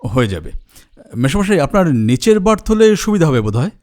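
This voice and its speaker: Helpful younger fella in audio drama, brisk n familiar, highish animated voice. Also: male voice. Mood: practical, helpful. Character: helpful, practical younger male family acquaintance. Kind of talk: Bengali audio horror story acting.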